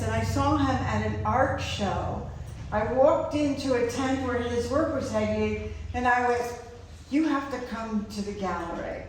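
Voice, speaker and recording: A woman hosting a gallery reception, introducing the artist in a loud, chatty, direct way. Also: on the high side. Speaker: gallery host. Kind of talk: introducing a speaker. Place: art gallery, indoors. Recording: very echoey room, voice far from mic, faint noise.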